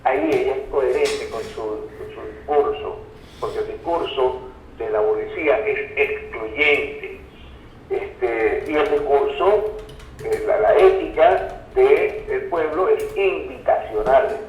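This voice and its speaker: A Venezuelan man speaking as an interview guest, explaining analytically in a halting, didactic way and checking that he is being followed. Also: mid-range voice, very animated. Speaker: interview guest, communication researcher. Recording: roomy, distant, dull sound, faint noise.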